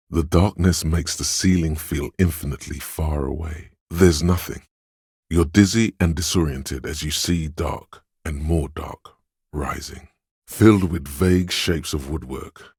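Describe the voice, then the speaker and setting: A man voicing the inner sense of perception in a video game, narrating what the hero notices in a measured, observant, second-person way. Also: very deep voice, breathy edge, no room sound, full character voice. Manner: measured, observant, softly descriptive delivery. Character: the hero's inner sense of perception, male, watchful and calm. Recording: dry close studio recording.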